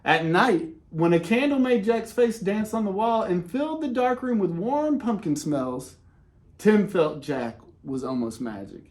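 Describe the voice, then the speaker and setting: A man reading a children's picture book aloud in an expressive way, with dramatic pauses and casual, conversational delivery. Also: high voice. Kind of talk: animated read-aloud with casual asides.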